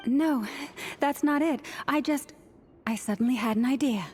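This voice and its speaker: An actress playing a gentle, soft-spoken young priestess in a video game. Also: female voice, sits high, moderate pace, soft background music, lightly acted. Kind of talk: video game cutscene voice acting.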